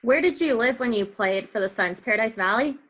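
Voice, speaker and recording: A woman with a fairly high, very bright voice hosts an interview. She asks her questions in a casual, friendly, conversational way. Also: brisk. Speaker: podcast host and interviewer.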